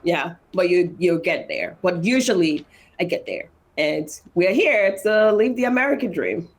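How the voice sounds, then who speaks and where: A woman's voice: on the low side, animated, normal speed. A woman with a Nigerian accent speaking as an interview guest, personal and motivational, repeating phrases emphatically for stress. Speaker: interview guest, engineer and foundation founder.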